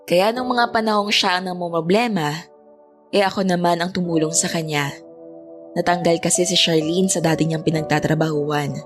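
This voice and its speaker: A woman's voice: on the low side, steady tone, normal speed, over music, natural voice. A woman with a Filipino accent acting a reflective young woman who narrates her own story in an audio drama. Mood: reflective, fond.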